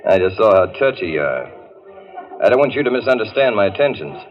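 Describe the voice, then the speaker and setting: An actor playing a confident, flirtatious plainclothes detective, laconic and cool, in a 1940s radio drama. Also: male voice, lowish voice, big pitch swings, fast talker. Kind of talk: 1940s radio noir acting. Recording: muffled old radio recording, music behind.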